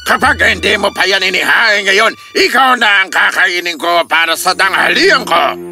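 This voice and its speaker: A man voicing a gruff, greedy, bullying monster in an animated children's story, menacing and bellowing. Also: sits high, normal speed, soft background music, exaggerated voice. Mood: menacing demand.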